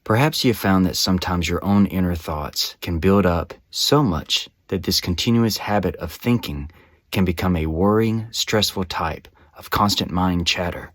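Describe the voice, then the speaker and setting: A man with a low voice leads a guided meditation from a script. His phrasing is measured and soothing, giving gentle instructions step by step. The sound is dry, with very little room in it. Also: no room sound.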